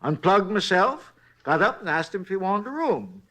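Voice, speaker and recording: A rambling folksy hotel clerk. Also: male voice, high-pitched, big pitch swings, moderate pace, a bit bright. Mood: chatty. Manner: rambling, chatty, rustic grammar. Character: folksy talkative night hotel clerk, man. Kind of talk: 1950s radio drama acting.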